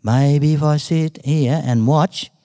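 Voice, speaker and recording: A man's voice: fairly high pitch, fairly even intonation, slow, dark tone, no room sound, studio quality. An Australian man playing a vain, hungry, gullible little snake in a told tale, colloquial and full of wonder. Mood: awed.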